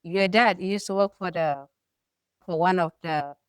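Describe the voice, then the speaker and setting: South Sudanese woman, smooth and emphatic. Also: fairly low pitch, a little slow, no room sound, clean studio sound. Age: middle-aged. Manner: earnest, emphatic, rising to forceful stresses. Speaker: co-host. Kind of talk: personal storytelling.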